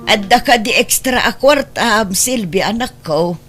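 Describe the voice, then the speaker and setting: A woman's voice: mid-range voice, lively intonation, measured pace, fairly bright tone, faint music behind. A woman with an Ilocano accent acting a worried older mother of grown daughters in an audio drama, speaking with motherly concern.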